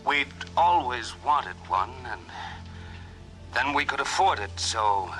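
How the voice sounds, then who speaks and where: A man's voice: mid-range voice, expressive, slow, a bit bright, low-quality recording. A man playing a troubled, nervy suburban husband and father in 1950s radio drama. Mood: wistful, uneasy. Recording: vintage radio recording, faint music.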